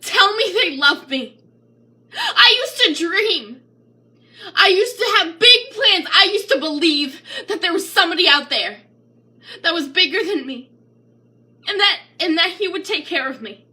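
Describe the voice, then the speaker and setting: A woman's voice: very high voice, expressive, measured pace, pauses often, sharp tone, voice pushed up, heavily acted. A woman performing a bitter, anguished young mother who has lost faith, in dramatic spoken-word poetry with pleading, defiant outbursts. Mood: despairing, pleading.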